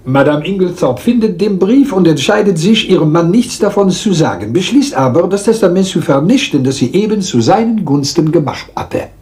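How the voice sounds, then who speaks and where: Lively French-accented little detective. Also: male voice, high-pitched. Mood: triumphant. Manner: theatrical, deliberate, polite, lecturing, teasing rhetorical questions. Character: small, meticulous, self-assured Belgian detective. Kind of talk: German radio crime drama acting.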